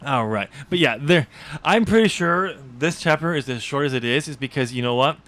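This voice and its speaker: A man co-hosting a podcast in casual unscripted chat, talking haltingly and often correcting himself. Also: a little high, expressive, fairly bright tone. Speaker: podcast co-host, author discussing own novel draft.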